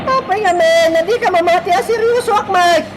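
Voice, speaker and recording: Very high-pitched whiny rebel woman, Ilocano. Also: fairly quick. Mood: teasing, defensive. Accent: Filipino, Ilocano. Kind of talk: radio drama acting. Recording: noisy outdoor and vehicle ambience. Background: vehicle and outdoor noise.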